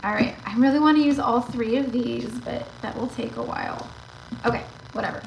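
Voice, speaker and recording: A woman hosting a casual tarot livestream, chatty and rambling, talking straight to her viewers, with her voice going slightly raspy. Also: on the high side, fairly quick, a little hiss or hum, low-quality recording.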